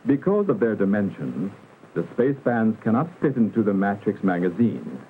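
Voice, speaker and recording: Lively male narrator on tinny vintage film soundtrack. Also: fairly low pitch. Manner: formal, didactic, measured enunciation. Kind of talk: scripted educational narration, 1960s industrial film. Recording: muffled vintage film soundtrack, narrow band, hiss.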